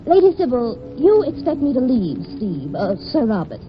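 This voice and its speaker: Poised, wry wife, very animated. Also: female voice, a little high, normal speed, over music. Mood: composed, dry. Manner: arch, composed, knowing irony. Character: poised, wry wife facing her rival. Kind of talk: 1940s radio acting. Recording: dull 1940s radio broadcast.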